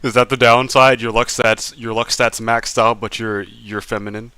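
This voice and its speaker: A man's voice: mid-range voice, steady tone, moderate pace, sharp tone, smooth, no echo. A young man drawing live on stream, chatting casually with viewers, stammering and repeating words, laughing often.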